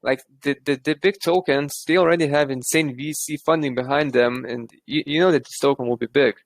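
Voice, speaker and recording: A man with a Lithuanian accent talks through his views in a casual chat, often circling back and restarting. His voice carries a gravelly fry. The sound is dry and close, with no room behind it. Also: a little high, steady tone, brisk, no room sound.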